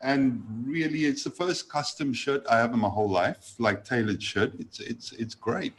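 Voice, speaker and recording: A man talking as a guest trader on a video call, halting, with many fillers and restarts. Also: medium pitch. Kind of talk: casual video call chat.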